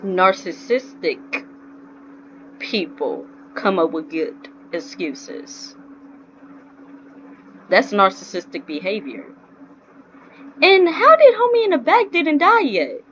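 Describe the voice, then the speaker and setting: A woman's voice: medium pitch, sing-song, slow pace, long pauses, a bit bright. A young woman giving casual gaming reaction commentary in a slangy, blunt, incredulous way, on a dull-sounding mic indoors. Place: indoors, dim room, on webcam. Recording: dull lo-fi mic, faint noise.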